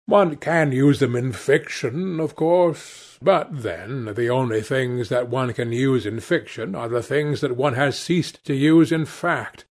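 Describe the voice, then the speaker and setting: A man's voice: mid-range voice, slow pace, hardly any pauses. A man voicing a witty, cynical aristocrat in an audiobook, with a nonchalant, pompous, epigrammatic manner. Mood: languid cynicism. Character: languid witty cynical male aristocrat, urbane and teasing. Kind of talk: audiobook character dialogue.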